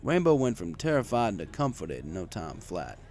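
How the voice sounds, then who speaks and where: A man's voice: lowish voice, very animated, normal speed, a little mellow. A man reading a first-person story in a wry, conversational way. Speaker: story reader. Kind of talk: first-person story narration with acted dialogue.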